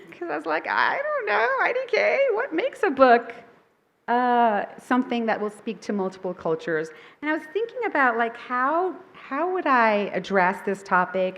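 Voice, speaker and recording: A woman who is a picture book author gives a conversational talk to a live audience, upbeat and chatty, with many fillers and self-corrections; her first language is Thai. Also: fairly high pitch.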